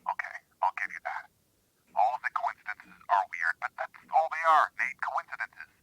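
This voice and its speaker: A very sharp, husky male voice, on the low side and close and dry, an actor playing a rational skeptic from a sheriff's office. He reasons bluntly but with care for his friend, in naturalistic horror audio drama dialogue. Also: sing-song, normal speed, no room sound, heavily acted, poor audio. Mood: exasperated, rational.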